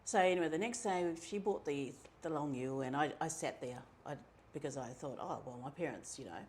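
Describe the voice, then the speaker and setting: A middle-aged woman from New Zealand with a New Zealand accent, telling her own story in a relaxed, chatty way, with many ums and asides. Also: low-pitched, fairly quick, a little hiss or hum. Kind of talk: casual personal storytelling.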